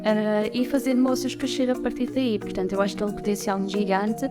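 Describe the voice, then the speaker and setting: A woman's voice: mid-range voice, fairly even intonation. A woman, explaining her points in an interview. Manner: quick, fluent, explanatory. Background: loud background music.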